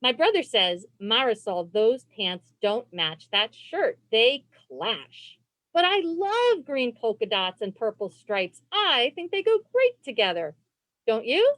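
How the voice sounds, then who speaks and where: Woman, higher-pitched, very lively intonation, children's read-aloud, deliberate phrasing. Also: no echo, low-quality recording. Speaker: librarian and read-aloud host. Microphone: video call.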